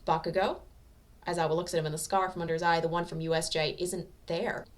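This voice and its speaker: A woman's voice: low voice, little pitch movement, fast talker. A woman narrating fan fiction as an amateur audiobook reader, in a scripted storytelling style.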